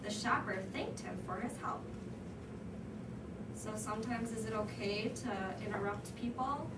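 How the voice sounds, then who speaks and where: A woman's voice: mid-range voice, unhurried. A woman teacher reading a storybook to young children, prompting them with questions and echoing their answers. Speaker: first grade teacher reading aloud. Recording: echoey room, distant mic, background noise.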